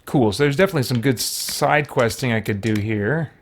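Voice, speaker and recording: A man's voice: mid-range voice, expressive, a little fast, a little hiss or hum. A man giving casual let's-play commentary, thinking aloud in a tentative way as he goes. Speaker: gaming video host.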